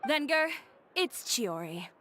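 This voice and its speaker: A woman voicing a brisk, blunt, proud young fashion designer in a video game, with a firm, commanding and sardonic delivery. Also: fairly high pitch, big pitch swings, noisy background. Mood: blunt, pressing. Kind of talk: scripted video game voice acting.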